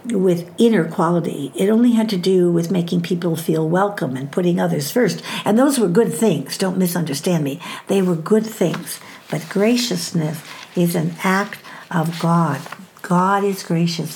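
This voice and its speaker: An older woman giving a devotional talk on parenting and faith, speaking in a measured, emphatic way and slowing down on scripture references. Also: lowish voice, gravelly, a little mellow, slight background noise.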